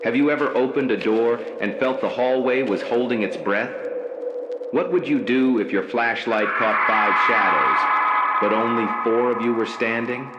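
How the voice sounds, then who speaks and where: A man reading a scripted horror story as narrator, slow, measured and ominous in delivery. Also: medium pitch, dull audio. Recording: echoey room with background noise.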